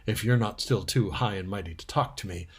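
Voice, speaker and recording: A sulky, suspicious schoolboy from a storybook, played by a male reader. He needles and argues like a conspirator, in a slightly husky voice. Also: on the low side, a little fast, pitched below the performer's own voice. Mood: conspiratorial suspicion.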